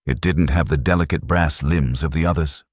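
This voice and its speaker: Very deep male audiobook narrator. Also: husky, dry recording, clean studio sound. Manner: dramatic, measured delivery.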